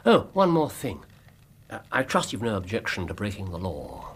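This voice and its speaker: Wry English detective with lively delivery. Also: male voice, on the low side, moderate pace, slightly rough, exaggerated voice. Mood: brisk, confident. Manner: precise, theatrical, wry. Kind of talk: radio drama acting.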